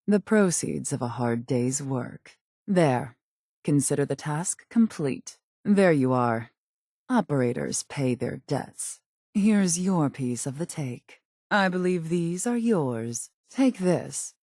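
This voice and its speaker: A calculating female raider boss for a video game. Her voice is low and mellow, recorded dry and close. She delivers her orders in a clipped, haughty, businesslike manner. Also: animated, takes it slowly, no echo, exaggerated voice. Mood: cool, businesslike. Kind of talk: scripted video game character dialogue.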